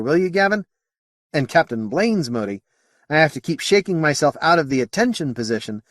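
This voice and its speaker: An easygoing young space midshipman from an audiobook a man acting him out. He is chatty and wry, with a raised voice that moves up and down in a lively way. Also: fairly high pitch, no room sound, clean studio sound, voice pushed up, lightly acted.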